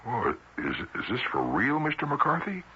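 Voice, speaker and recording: A man's voice: medium pitch, huge pitch swings, moderate pace, bright, forceful tone, husky, exaggerated voice. An actor playing a nervous, guilty ordinary man caught breaking in, hesitant and evasive, in 1970s radio drama style. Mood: puzzled, yielding. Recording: dull, noisy vintage radio recording.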